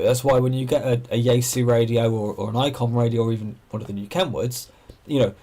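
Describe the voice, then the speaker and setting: A man's voice: medium pitch, a little mellow. A man hosting an amateur radio livestream, chatting casually and thinking aloud as he explains things and answers viewers.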